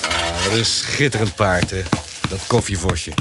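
An actor playing a distrustful, grumbling gentleman of a country house in a Dutch radio play, speaking expressively. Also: sits low, measured pace. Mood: admiring. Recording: noisy radio play recording.

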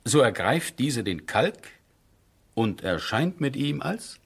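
An actor playing an earnest, courteous military captain with a scientific bent, speaking measured, formal in a 1970s radio drama. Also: male voice, mid-range voice, sing-song, creaky, husky, exaggerated voice. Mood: lecturing.

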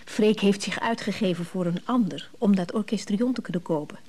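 A woman's voice: mid-range voice, fairly quick, darkish tone, lightly acted. An actress playing an earnest, admiring woman in a radio drama, with a natural, slightly hesitant delivery. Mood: concerned.

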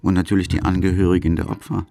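A man's voice: deep voice, flat delivery, quick pace, gravelly, dark tone, no room sound, clean studio sound, soft background music. A man narrating a radio documentary from a script.

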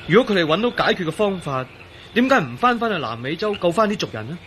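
A man's voice: high voice, sing-song, fast, fairly bright tone, a little hiss or hum. An actor playing a tormented, impatient man in a Cantonese radio drama. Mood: doubtful, reasoning. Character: tormented, impatient man turned into a transparent skeleton.

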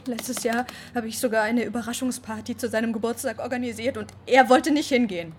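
Actress as upset mom, high voice, hushed and halting, faint noise behind, radio drama. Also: female voice, lightly acted. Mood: bitter. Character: distraught mother, whispering in shock.

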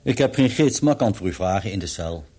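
An actor playing an obliging, businesslike Paris barman in a radio play, speaking in polite, brisk service talk. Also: low-pitched, lively intonation, mellow, breathy edge. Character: obliging, businesslike male Paris bar waiter.

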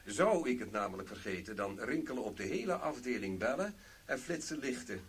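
An actor playing a formal, precise and officious company manager in a radio drama. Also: male voice, mid-range voice, big pitch swings, a little fast, husky. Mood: formal, proud. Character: formal male security-minded company manager showing a vault.

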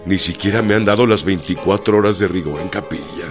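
A man's voice: sits low, a little fast, natural voice. A man playing a condemned, defiant and solemn revolutionary officer in a radio drama. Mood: indignant, pleading. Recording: music behind the voice.

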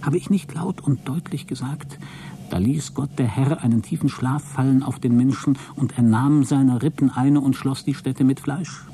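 An actor playing a prudish, wounded and coldly scheming space traveller, speaking a formal, wordy log in a radio drama. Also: male voice, mid-range voice, steady tone, normal speed, dark tone, slightly rough. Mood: cold, resolved. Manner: formal, self-righteous, wordy. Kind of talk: radio drama monologue, spoken log entry.

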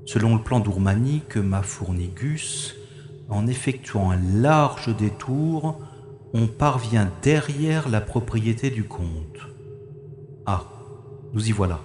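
In a reverberant space with faint noise, a man acts out a secret agent in a science-fiction audio drama. He talks himself through a covert mission slowly and deliberately. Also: lowish voice, expressive. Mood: focused, wary. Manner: deliberate, narrating his actions to himself.

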